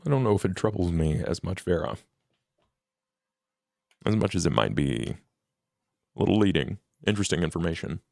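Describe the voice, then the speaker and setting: A man improvising in-character dialogue in a tabletop game in a formal, deliberate, businesslike manner. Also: deep voice, lively intonation, creaky, a little mellow, no room sound, clean studio sound. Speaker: player in a tabletop role-playing game.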